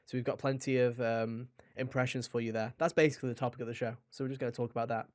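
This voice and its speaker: Man, podcast host, casual chat, average pitch, jokey mock-dramatic delivery, very dry recording. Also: steady tone, fast talker, no echo.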